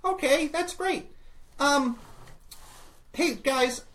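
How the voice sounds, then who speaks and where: A man's voice: extremely high pitch, drawn-out words, a bit bright, pitched above the performer's own voice, exaggerated voice. A man voicing a small, cheerful, naive clockwork companion in a perky, childlike way during a tabletop game.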